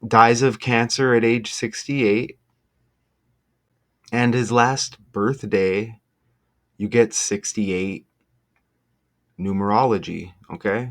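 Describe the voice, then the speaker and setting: A man presenting an online video in a casual, off-the-cuff way, halting and emphatic, often checking in with the listener. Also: fairly low pitch. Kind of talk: casual off-the-cuff explainer with numerology.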